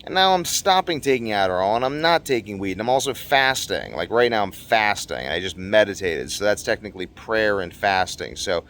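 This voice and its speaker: Male vlogger, lowish pitch, casual monologue, unscripted, earnest, rambling, emphatic repetition. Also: lively intonation, brisk, few pauses, fairly bright tone. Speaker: video blogger.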